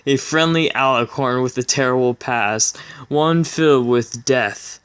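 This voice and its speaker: A man's voice: mid-range voice, measured pace, fairly bright tone, clear, resonant tone, lightly acted. A man voicing a vain, boastful winged unicorn hero with melodramatic self-seriousness, in a story reading. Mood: brooding, boastful.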